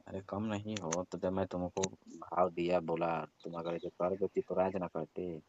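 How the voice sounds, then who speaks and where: Man, low register, domineering boss manner, dull lo-fi phone recording. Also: flat delivery, normal speed, darkish tone. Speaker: employer on a call with worker. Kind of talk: private phone conversation. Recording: muffled phone call recording.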